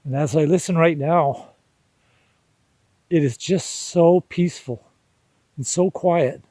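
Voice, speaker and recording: Vlog host: man, 60s, slow contemplative delivery, slightly higher-pitched voice, reflective tone. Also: dark tone, no room sound. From Canada. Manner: unhurried, halting, contemplative, with deliberate pauses. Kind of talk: reflective personal vlog monologue.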